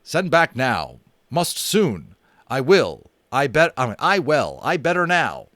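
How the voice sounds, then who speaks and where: A man voicing a stern, proud Native chief who speaks gruffly in clipped, broken phrases. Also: mid-range voice, wildly swooping pitch, slow pace, fairly bright tone, no room sound, studio quality, pitched above the performer's own voice, full character voice. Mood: excited.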